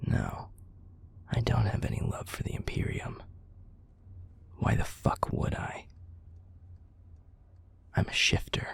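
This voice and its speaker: A man playing a bitter, cynical werewolf pack enforcer in an audio roleplay, with a husky, gruff, confrontational delivery. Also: slow, pauses often, in a whisper, lightly acted. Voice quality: gravelly, husky, breathy. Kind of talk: scripted audio roleplay acting.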